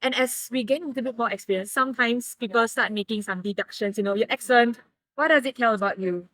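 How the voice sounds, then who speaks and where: A young woman from Malaysia, a guest in a casual interview who explains her ideas in careful, measured steps. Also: a little high, a bit bright, no room sound, poor audio. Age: young adult.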